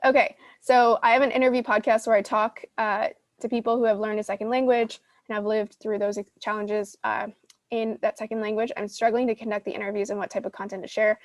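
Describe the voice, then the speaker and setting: A woman's voice: fairly high pitch, flat delivery, a little fast, dry recording, clean studio sound. A woman answering audience questions in a casual teaching session, conversational and thinking aloud as she explains. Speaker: podcast host teaching a live Q&A session.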